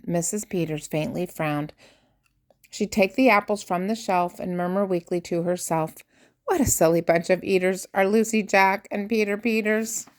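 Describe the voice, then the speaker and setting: An older woman, a grandmother, reads a rhyming children's picture book aloud with expressive, theatrical emphasis on key words. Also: medium pitch. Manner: theatrical emphasis, drawn-out key words, dropping to hushed asides.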